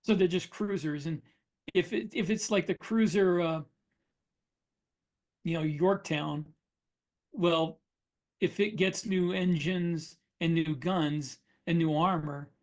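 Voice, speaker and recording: Guy with a bit of a higher voice just talking shop on a podcast, matter of fact. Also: fairly even intonation, slow, long pauses, darkish tone. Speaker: podcast co-host, science fiction author. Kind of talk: casual chat about writing craft.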